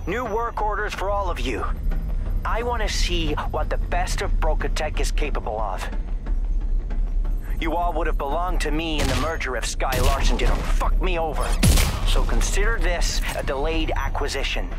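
A man's voice: on the high side, animated, moderate pace, lightly acted. An actor playing an arrogant, cruel male tech boss villain in a video game, contemptuous and threatening. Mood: domineering. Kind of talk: video game cutscene acting.

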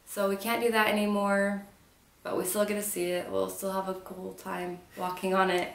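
A woman's voice: mid-range voice, monotone, normal speed, creaky, distant mic. A woman in her twenties chatting casually for a travel vlog, upbeat and joking. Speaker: travel vlogger. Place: living room sofa, indoors. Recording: roomy echo, faint music.

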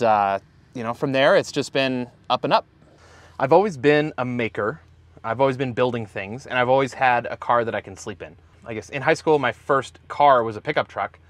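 A man's voice: mid-range voice, no echo. Casual man talking vans, dry sound. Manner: relaxed, casual with fillers. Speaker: van company founder. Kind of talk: interview talking to camera. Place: inside a camper van and outdoors by the van.

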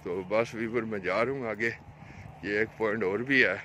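A man's voice: moderate pace, bright, forceful tone. A man with a Pakistani accent gives casual, repetitive vlog commentary as a fishing vlogger, pointing things out to viewers into a handheld phone outdoors. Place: outdoors on an open road along a dam. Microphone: handheld phone camera, speaker behind it. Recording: noisy outdoor phone video, ambient rumble.

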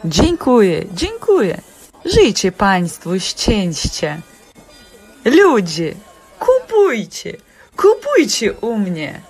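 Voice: mid-range voice, wildly swooping pitch, drawn-out words, darkish tone, faint music behind, full character voice. An actor playing a pushy, greedy market trader in a cartoon, hawking and wheedling in a sing-song way. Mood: flattering, then hawking, eager. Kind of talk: animated stage-play acting.

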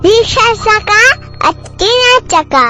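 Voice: very high voice, animated, a little slow. A cartoon voice of a bossy little girl, self-assuredly telling absurd tall tales in a childish, scolding manner. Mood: earnest, dramatic. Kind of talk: comic cartoon dialogue. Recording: dull lo-fi audio, music behind.

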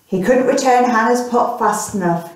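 A woman's voice: fairly high pitch, normal speed. Female storyteller, thirties, reading to a small child, very echoey room, distant mic. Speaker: storytelling teacher and mother. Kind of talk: reading a picture book aloud to a child. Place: small plain room indoors.

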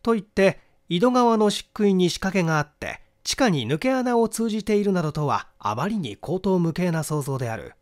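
A man narrating a mystery novel in a theatrical, deliberate storytelling manner. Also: high voice, very animated. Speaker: audiobook narrator and stage actor.

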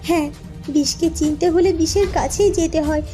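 Charulata, a calm clever young princess, voiced by a woman. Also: very high-pitched, normal speed, soft background music.

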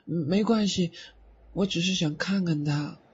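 A man's voice: high voice, unhurried, a little mellow, breathy edge, full character voice. A man acting in an audio drama as a sweet, naive teenage boy ghost with a childlike, pouty manner. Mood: sad, timid. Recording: slightly dull audio, faint music behind.

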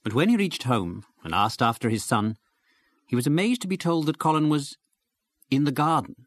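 A man's voice: fairly high pitch, lively intonation, moderate pace, no echo. Man narrating a novel, dry studio sound. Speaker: presenter and reader. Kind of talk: scripted literary narration for radio.

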